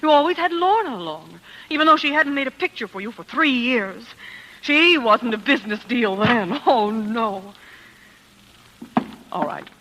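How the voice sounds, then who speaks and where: An actress playing a jealous, vain, dramatic wife in a theatrical, self-pitying 1940s radio style. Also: female voice, fairly high pitch, lively intonation, a little slow, a bit bright, lo-fi sound, a little hiss or hum. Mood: jealous, bitter. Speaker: lead actress and first-person narrator. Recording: old 1940s radio recording with music.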